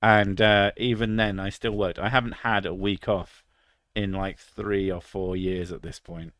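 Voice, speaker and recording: A man's voice: fairly low pitch, little pitch movement, unhurried, fairly bright tone, no room sound, clean studio sound. A British man in his thirties chatting casually on a stream, crude and sarcastic, swearing freely. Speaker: streamer reading chat. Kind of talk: casual live stream chat.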